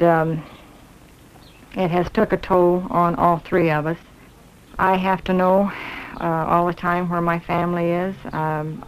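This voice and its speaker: A middle-aged woman with a fairly low voice gives personal testimony. She speaks haltingly and plainly, pausing often as she shows people around. The old film soundtrack carries some noise. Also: poor audio. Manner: halting, hesitant, plain-spoken. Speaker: fire survivor, homeowner. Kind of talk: personal testimony, walking tour. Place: burned-out rooms inside a house.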